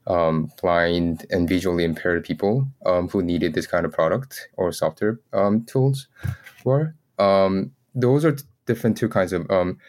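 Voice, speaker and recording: A man's voice: sits low, unhurried, a little mellow. A man from South Korea speaking as an interview guest, conversational, with hesitant, tentative phrasing.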